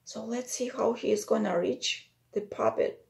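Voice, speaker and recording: A woman's voice: fairly high pitch, moderate pace, vocal fry, a little mellow. A woman in her thirties or forties reading a picture book to children as a teacher, with simple explanatory asides.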